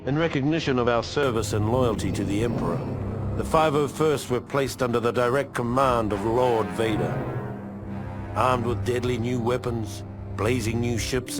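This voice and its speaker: An actor playing a weathered veteran clone trooper, narrating his war memoirs for a video game in a measured, grave, wry soldierly manner. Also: medium pitch, lightly acted. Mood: proud, grim. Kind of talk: scripted in-character journal narration for a game. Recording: music behind the voice.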